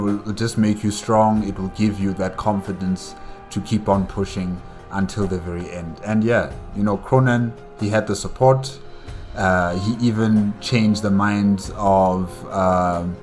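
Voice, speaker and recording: Slow-talking low-voiced male reactor. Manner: rambling, many fillers and laughs. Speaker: reaction channel host. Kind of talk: casual video reaction.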